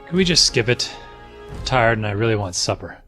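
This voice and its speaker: A man's voice: lowish voice, expressive, brisk, sharp tone, soft background music. An actor playing a weary, frightened husband and father in an audio drama, pleading and defensive.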